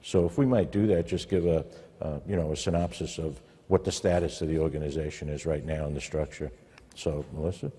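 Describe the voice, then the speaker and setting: Man, conference host, dark mellow low voice, halting informal delivery, long room reverb. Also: fairly even intonation, slightly rough. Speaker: host introducing a panel as association president. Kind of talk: informal spoken introduction at a conference. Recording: echoey hall with long reverb.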